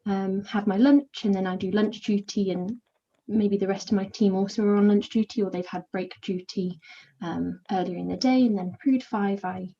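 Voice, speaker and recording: A young woman with a soft, soothing voice and a mellow, warm tone talks in a quiet, introverted way. She answers casually and hesitantly, filling gaps with many small fillers. The sound is dull and lo-fi, like audio from a video call. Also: medium pitch, steady tone, normal speed, slight background noise. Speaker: volunteer mentor.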